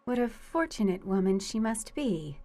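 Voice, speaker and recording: A woman's voice: mid-range voice, very animated, moderate pace, warm tone, lightly acted. A woman playing a sly, flirtatious lady cat burglar in a radio suspense drama, with a coy, teasing manner.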